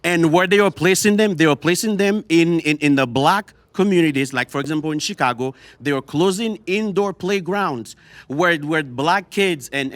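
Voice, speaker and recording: A man from Haiti with a Haitian accent, native Creole speaker, arguing forcefully in a heated group debate and often repeating words. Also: on the high side, no echo.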